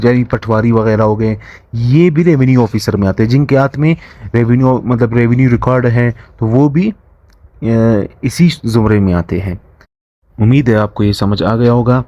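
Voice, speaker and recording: A young man teaches in an explanatory way, reading passages aloud and paraphrasing them, often halting and restarting his phrases. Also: mid-range voice, mellow, dry recording, highs cut off. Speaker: law lecturer.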